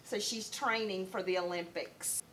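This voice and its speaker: A woman teacher reading a picture book aloud to young children in an expressive, deliberate storytelling manner. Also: medium pitch, poor audio. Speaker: first grade teacher.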